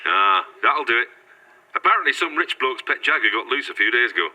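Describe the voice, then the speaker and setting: A man's voice: medium pitch, very animated, a little fast, sharp tone, full character voice, rough recording. A man playing a chatty, folksy pub landlord in an audio drama. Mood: chatty, knowing. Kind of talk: horror audio drama acting.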